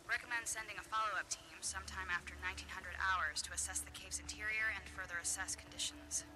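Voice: medium pitch, steady tone, quick pace, noisy background, natural voice. A performer acting a methodical, cautious field researcher in a video game, speaking clinically and precisely.

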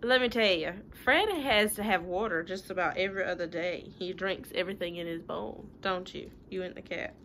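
A woman's voice: fairly low pitch, normal speed, hardly any pauses, a bit bright. A woman in her thirties reading a picture book to children, chatty and sing-song, with casual asides that explain things simply. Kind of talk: animated read-aloud with casual asides. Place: living room at home. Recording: home recording with light room noise.

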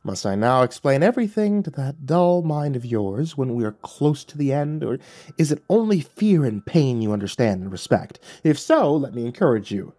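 A man voicing a cruel, mocking male devil in an audiobook, with a taunting, drawn-out delivery. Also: mid-range voice, sing-song, dark tone, pitched above the performer's own voice. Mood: menacing. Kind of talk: audiobook character voice.

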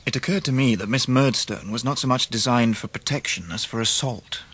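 A man playing an earnest, sensitive young gentleman who tells his own story in a radio drama, polite and wry. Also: mid-range voice, brisk, husky. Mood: wistful reflection. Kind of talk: dramatised first-person narration, 1990s radio drama.

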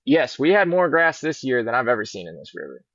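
A middle-aged man, a fishing guide, chatting in a relaxed, rambling way on a podcast, heard over a video call from a large indoor showroom. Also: medium pitch, fairly quick, no room sound. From Virginia. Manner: easygoing, rambling storyteller. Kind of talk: casual podcast interview. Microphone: laptop webcam on a video call. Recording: muffled dull video call audio.

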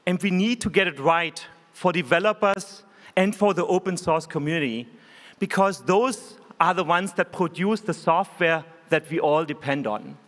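A man in his forties with a German accent, giving an earnest, measured keynote speech from a conference stage podium microphone. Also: high voice, lively intonation, a bit bright. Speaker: keynote speaker. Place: large conference hall stage. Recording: hall reverb.

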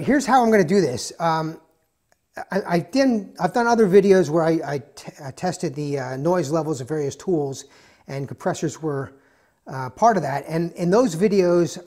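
A man's voice: fairly high pitch, darkish tone. Man, casual tool review, rambling, lots of ums and restarts, garage workshop. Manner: rambling, many fillers and restarts. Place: indoors, garage or workshop with concrete floor.

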